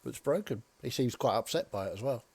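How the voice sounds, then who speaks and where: A man with a husky, slightly worn voice runs a tabletop game as dungeon master. He calls turns, asks for checks and teases his players in a dry way. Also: medium pitch, expressive, fast talker, darkish tone.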